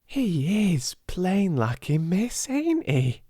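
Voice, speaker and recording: A British man voicing an excitable, deferential young servant girl in a storybook, plain-spoken and eager. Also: high-pitched, slow pace, husky, no echo, studio quality, voice pushed up, exaggerated voice. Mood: admiring. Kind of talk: storybook character voice.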